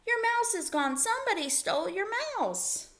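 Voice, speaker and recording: Woman voicing an excitable, high-pitched girl camper. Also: moderate pace. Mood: alarmed. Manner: shrieking, dramatic exclamations. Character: excitable young girl camper raising the alarm.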